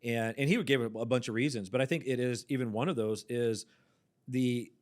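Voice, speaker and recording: A man in his forties hosting a church podcast, chatting casually with quick asides and easy laughs. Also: mid-range voice, darkish tone. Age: 40s. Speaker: podcast host, pastor. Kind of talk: casual chat.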